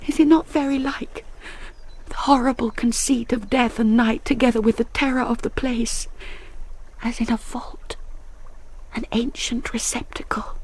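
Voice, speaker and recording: A woman's voice: fairly high pitch, steady tone, unhurried, husky, low-quality recording. A British actress playing a frightened young noblewoman in a Shakespearean radio drama, in a dramatic soliloquy that slips into whispers. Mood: dread. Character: frightened, lovelorn young noblewoman steeling herself.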